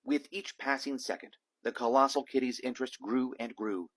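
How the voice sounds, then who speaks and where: Male storybook reader, a dad reading aloud, mid-pitched voice, dramatic emphasis, animated picture-book delivery. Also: normal speed.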